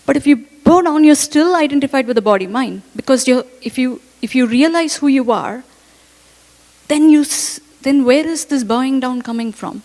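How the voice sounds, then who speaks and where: A woman student asking her teacher a spontaneous question, halting as she thinks aloud. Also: high voice, darkish tone. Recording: echoey hall with long reverb.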